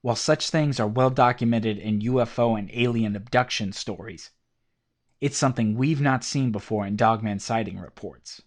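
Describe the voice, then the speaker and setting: A man narrating a horror story in a steady, scripted, documentary-like way. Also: medium pitch, moderate pace. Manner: steady documentary-style telling.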